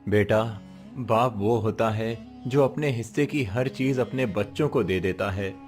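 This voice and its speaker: A man's voice: on the low side, measured pace, a little mellow, lightly acted. A man playing a poor, proud village labourer father, tender and resolute, in an earnest moral-story style. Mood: tender, weary. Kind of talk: Hindi moral story voice acting. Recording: music behind the voice.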